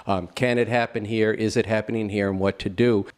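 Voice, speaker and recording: A man's voice: lowish voice, fairly even intonation, a little fast. A man hosting a live discussion, introducing the program and guest informally. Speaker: host.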